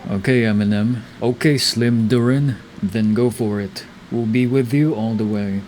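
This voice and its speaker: A man's voice: medium pitch, steady tone, a little slow, darkish tone, faint music behind. Laid-back young man, game commentary. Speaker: game streamer. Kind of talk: casual game playthrough commentary.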